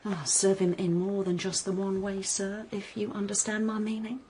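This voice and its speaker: A woman's voice: mid-range voice, level tone, normal speed, a little mellow, a little hiss or hum, lightly acted. A woman playing a sly, insistent serving maid who coaxes and insinuates in a period radio drama. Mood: sly, coaxing. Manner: coaxing, knowing, insinuating.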